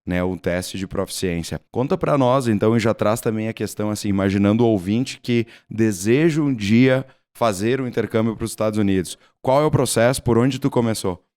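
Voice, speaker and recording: A man's voice: on the low side, a little fast, few pauses, a little mellow, clear, resonant tone. A man hosting a podcast, encouraging his guest and prompting him with questions. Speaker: podcast host. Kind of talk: casual interview chat.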